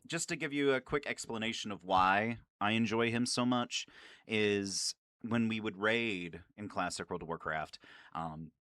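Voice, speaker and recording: A man's voice: lowish voice, a little slow, fairly bright tone, no room sound, studio quality. A man giving casual, nerdy commentary as a gaming video creator, rambling and swearing with enthusiasm. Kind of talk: casual, nerdy commentary on favorite game lines.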